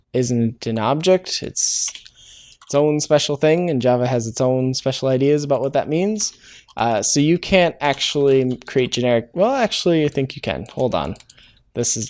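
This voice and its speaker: A man walks through code in an easygoing, unscripted way, pausing on filler sounds and correcting himself as he goes. His voice sits at an ordinary middle pitch for a man. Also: darkish tone. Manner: thinks aloud, hesitant, self-correcting with many "uh"s. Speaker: programming tutorial host. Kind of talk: casual live-coding walkthrough.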